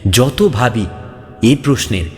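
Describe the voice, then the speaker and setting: A man's voice: medium pitch, animated, slow. A man reading a scripted ghost story as a narrator, in a dramatic, suspenseful and theatrical manner. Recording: long reverb, background music. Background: music.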